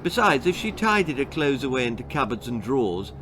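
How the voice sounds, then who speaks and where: A man with a British accent reading a children's story theatrically, relishing each word with dramatic pauses. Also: mid-range voice, sing-song, background noise. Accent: British English. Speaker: author reading own story.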